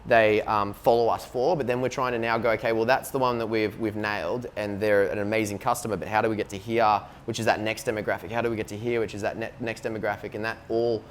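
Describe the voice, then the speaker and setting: A man's voice: on the low side, level tone, quick pace, hardly any pauses, slight background noise. An Australian man, a business co-founder, talking casually in an interview and filling his speech with "like" and "you know what I mean". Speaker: company co-founder, guest.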